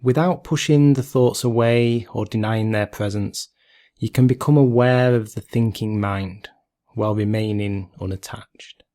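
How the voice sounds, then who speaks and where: A man leading a guided meditation in a calm, gentle, measured way, leaving long pauses between phrases. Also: lowish voice.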